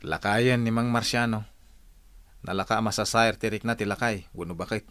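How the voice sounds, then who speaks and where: A man's voice: lowish voice, moderate pace, fairly bright tone, clean tone, dry recording, lightly acted. A man playing a scolding village husband in an Ilocano radio drama, speaking with an Ilocano accent in a lecturing way, with faint music in a dry studio.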